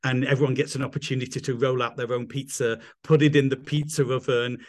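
A man's voice: medium pitch, flat delivery, fast. A middle-aged man with an English accent, a guest on a video call, talking enthusiastically and rambling with restarts. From England. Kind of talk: casual interview chat.

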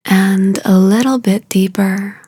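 Slow, mellow woman guiding meditation, creaky voice. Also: mid-range voice, steady tone, dry recording, studio quality. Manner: soothing, hushed, soft and breathy at phrase ends; unhurried with drawn-out phrases. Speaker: meditation guide. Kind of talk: guided meditation.

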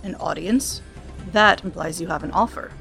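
A woman's voice: on the low side, moderate pace, gravelly, sharp tone, faint music behind. A woman growling as a stern older paladin man. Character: gruff older male paladin teacher.

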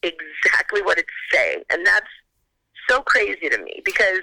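A woman guest on a phone call, telling a story in a casual, animated way and acting out her own reactions. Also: on the low side, moderate pace, vocal fry, no echo.

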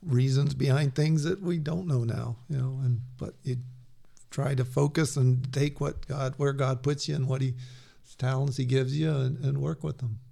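A man with a gravelly, mellow voice talks as a guest on a podcast. He speaks haltingly, restarting his sentences often. He laughs easily as he talks. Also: mid-range voice, fairly even intonation. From Peru. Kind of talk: casual conversation.

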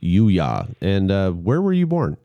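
A man's voice: low voice, huge pitch swings, takes it slowly, no room sound, dull audio. A man hosting a casual beer-tasting podcast, easygoing and prompting his guests with questions. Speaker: podcast host.

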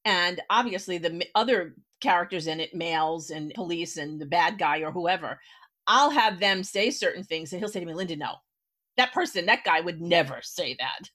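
A woman's voice: fairly low pitch, expressive, normal speed, a bit bright. A woman hosting a casual interview, talking in a direct, explanatory way and checking in with the listener as she goes. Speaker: podcast host, writer.

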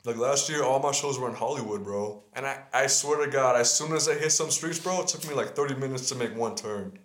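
A man's voice: medium pitch, brisk. A young man co-hosting a casual podcast, laid-back and easy to laugh, calling everyone bro. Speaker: podcast co-host. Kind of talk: casual chat. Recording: echoey room.

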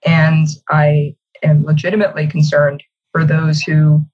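A woman speaks as an executive guest in a conversational interview, articulate and emphatic. Her voice has a husky grain, and it comes through slightly muffled, as on an internet call. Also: sits low, monotone, unhurried. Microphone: video call.

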